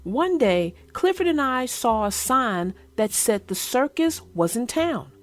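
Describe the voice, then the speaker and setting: A woman children's book narrator speaking expressively and deliberately, as if to young children. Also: a little high, big pitch swings, no room sound, soft background music. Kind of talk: storybook read-aloud and casual chat.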